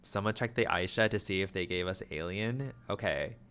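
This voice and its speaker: Chatty low-voiced young man streaming. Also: normal speed, clear, resonant tone. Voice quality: flat, low-energy delivery. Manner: chatty, self-deprecating, trailing into asides. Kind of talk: casual livestream chat.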